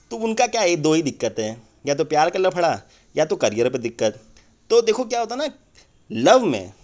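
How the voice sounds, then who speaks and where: A man's voice: high voice, darkish tone. A man playing a crude, irritable motivational speaker in a comedy phone-call sketch, ranting bluntly and swearing in a rambling, cynical way.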